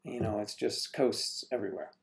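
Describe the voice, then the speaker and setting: A man's voice: on the low side, fairly even intonation, normal speed, vocal fry. A man hosting a casual livestream from home, rambling as he greets chat viewers and stumbles over their names.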